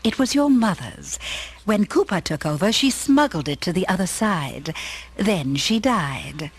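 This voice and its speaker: A woman's voice: lowish voice, sing-song, a little slow. A woman playing a sly, insinuating villainess in a radio play. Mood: sly, taunting. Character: slimy, sly villainess toying with a captive.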